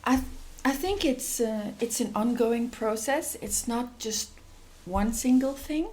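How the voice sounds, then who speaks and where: Slow, hesitant woman from Denmark. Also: a little high, darkish tone. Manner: thoughtful, hesitant, many restarts and fillers. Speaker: guest, NGO executive director. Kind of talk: reflective interview answers.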